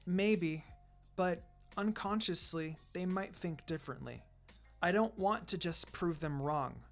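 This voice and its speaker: Timid but determined ninja girl, played by a man reading fan fiction, soft-spoken, hesitant, music underneath. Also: high voice, a little slow, vocal fry. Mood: hesitant, earnest. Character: shy, earnest young girl ninja, quietly determined. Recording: music behind the voice.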